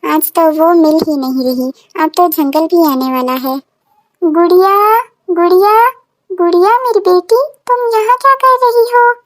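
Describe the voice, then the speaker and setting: A woman's voice: very high voice, measured pace, dry recording, low-quality recording. A woman voicing an anxious, loving village mother in a children's cartoon story, fretful and tender. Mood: worried, searching. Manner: fretful, tender, repetitive calling.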